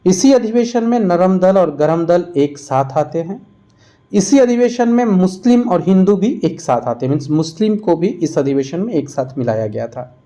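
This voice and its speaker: Higher-pitched, mellow-voiced man teaching a quiz lesson. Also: animated, normal speed. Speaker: exam-prep history teacher. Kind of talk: quiz-style lesson narration.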